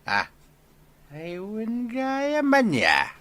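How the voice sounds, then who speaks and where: A man's voice: extremely high pitch, huge pitch swings, extremely slow, sharp tone, dry recording, full character voice. A man with an Ilocano accent playing a brash, hot-tempered middle-aged village father in a comedy radio drama, blustering and defiant. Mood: grumbling, boastful.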